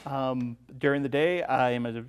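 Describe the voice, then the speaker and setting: A man's voice: medium pitch, normal speed, smooth. A man speaking as a podcast guest, casually discussing movies and offering his opinions in a tentative way.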